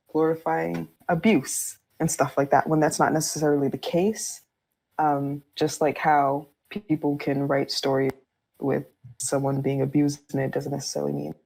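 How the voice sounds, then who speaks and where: Low, gravelly, husky young-sounding woman chatting casually. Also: dark tone, rough recording. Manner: candid, informal phrasing. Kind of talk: casual chat, explaining personal views.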